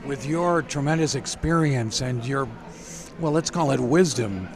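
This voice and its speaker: A man's voice: medium pitch, a little slow. Polite man interviewing outdoors. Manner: polite, admiring questioning. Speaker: radio interviewer. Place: outdoors on a university campus.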